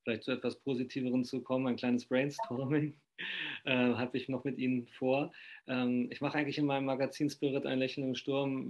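A man in his fifties interviewing conversationally over a video call, rambling and often interrupting himself mid-sentence. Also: mid-range voice. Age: 50s. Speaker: interviewer, magazine publisher. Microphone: video call microphone at desk distance.

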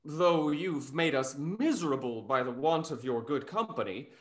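A young, witty gentleman of a Restoration comedy, acted by a man. His voice sits a little high. He speaks in ornate, mock-earnest lines with a snarky edge. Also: lively intonation, normal speed, lightly acted. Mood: wry. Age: young adult. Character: witty, snarky gentleman friend of a London rake. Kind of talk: stage acting in a Restoration comedy over video call. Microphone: video call.

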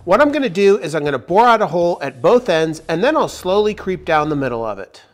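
A man's voice: high-pitched, very animated, fast talker, background noise. A man in his forties hosting a woodworking tutorial, explaining each step in an upbeat, step-by-step way from a large indoor workshop. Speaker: woodworking channel host.

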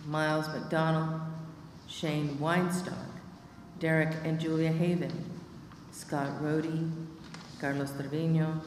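A woman's voice: low voice, a little mellow, a little hiss or hum. A middle-aged woman at a church lectern, steadily reading the prayers of the people and a long list of names. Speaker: lay reader leading prayers. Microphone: lectern microphone. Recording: echoey church from far off.

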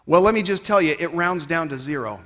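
Man, 30s, keynote speaker; higher voice, emphatic rhetorical delivery, long hall reverb. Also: expressive, a little fast. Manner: emphatic, rhetorical, swinging between loud and quiet. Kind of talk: conference keynote speech. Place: stage of a large conference hall. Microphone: headset mic.

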